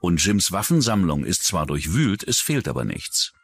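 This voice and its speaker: A man's voice: sits low, big pitch swings, moderate pace, slight background noise. A man delivering scripted true-crime documentary narration as the narrator.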